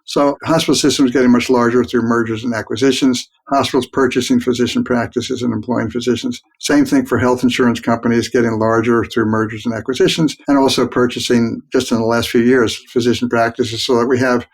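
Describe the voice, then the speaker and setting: A mature man giving reflective, explanatory answers as an expert guest in an interview, speaking in a measured, plain-spoken way. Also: mid-range voice, little pitch movement, breathy edge. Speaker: guest expert, physician researcher.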